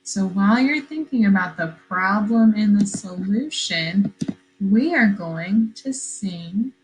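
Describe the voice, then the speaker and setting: A woman teacher reading a story aloud to young children, expressive and questioning, repeating phrases for emphasis. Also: medium pitch, slow pace, background noise.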